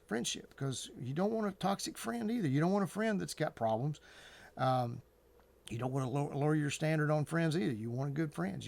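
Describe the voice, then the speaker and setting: A man talking casually and earnestly to viewers as an advice host, in a halting, repetitive, colloquial way. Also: a little high, gravelly.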